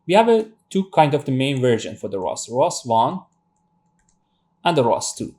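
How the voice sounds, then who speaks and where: A man in his thirties from Iran with a Persian accent, Persian his native tongue, teaching a robotics tutorial with frequent pauses and restarts. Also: mid-range voice, sing-song, dark tone. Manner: hesitant, many fillers and restarts. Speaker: robotics instructor. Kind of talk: screen-share tutorial lecture.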